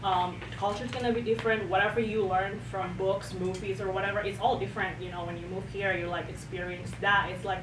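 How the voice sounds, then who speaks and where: A woman from Indonesia with an Indonesian accent, chatting casually as a podcast guest and telling her story in a giggly, animated way. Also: mid-range voice, fairly quick, few pauses. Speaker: podcast guest, church leader. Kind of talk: casual conversational interview. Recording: roomy, distant mic with clear background noise.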